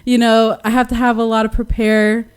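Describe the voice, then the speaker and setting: A young woman from Western Samoa, a musician guest on a radio interview, talking casually and softly with slang and frequent laughs. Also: a little high, little pitch movement, moderate pace, clear, resonant tone. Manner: soft-spoken, laughs often, slangy.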